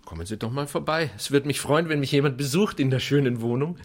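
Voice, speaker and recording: An actor playing a reserved, awkward writer in a small town, speaking hesitantly in short phrases in a radio drama. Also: male voice, mid-range voice, fast talker, no echo, close to an everyday voice. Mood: polite, matter-of-fact. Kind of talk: naturalistic radio drama acting.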